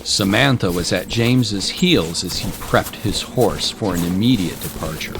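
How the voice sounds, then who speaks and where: A male narrator delivers scripted dramatic storytelling. His voice sits low, and music plays clearly behind it. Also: lively intonation, a little slow.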